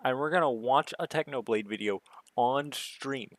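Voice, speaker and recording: A man with a somewhat deep voice chatting casually to himself as he streams a game, rambling and musing. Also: normal speed, dry recording. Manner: rambling, self-musing talk. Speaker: gaming livestreamer. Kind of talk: casual solo gaming stream chat.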